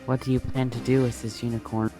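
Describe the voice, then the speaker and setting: A man's voice: medium pitch, flat delivery, quick pace, close to an everyday voice. Man playing a defiant, protective woman, music behind. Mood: defiant, accusing. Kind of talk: amateur dramatic reading.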